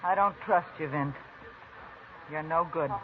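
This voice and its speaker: An actress playing a desperate young frontier wife who sobs and shouts in a 1950s radio drama. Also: female voice, a little slow. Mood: accusing. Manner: shrill, sobbing and shouting. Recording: tinny hissy old radio broadcast.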